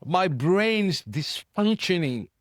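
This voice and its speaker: A man with a Greek accent co-hosting a podcast, chatting casually and getting animated, his voice climbing high when worked up. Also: slow, dry recording, studio quality. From Greece. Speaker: podcast co-host. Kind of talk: casual chat.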